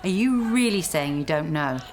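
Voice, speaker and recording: A woman's voice: deep voice, animated, moderate pace. An actress playing a bitter, intense young woman, a scorned lover pressing her point insistently in a naturalistic radio drama. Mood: urgent, accusing.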